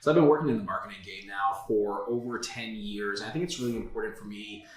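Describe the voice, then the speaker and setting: A man's voice: lowish voice, quick pace. A man in his thirties from South Florida, a guest telling his career story in a casual interview, candid and full of "like" and "uh". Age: 30s. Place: office. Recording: echoey room.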